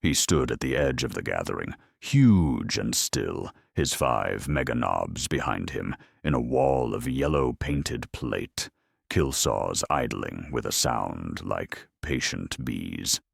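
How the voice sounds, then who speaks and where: Extremely deep, gravelly male narrator. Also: lively intonation, slow pace, dry recording, clean studio sound. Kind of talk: scripted dramatic story narration.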